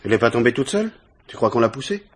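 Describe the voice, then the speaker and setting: A man's voice: medium pitch, sing-song, rapid-fire, slightly rough. A man acting an inquisitive police inspector who chats casually with his colleague in a radio crime drama. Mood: curious, familiar. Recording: very noisy old radio recording.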